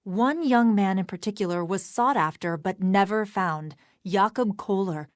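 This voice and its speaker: A woman's voice: medium pitch, a little slow, no room sound, studio quality, lightly acted. An actress playing a formal, scholarly woman historian in a video game, earnest, precise and bookish in manner. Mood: earnest, lecturing.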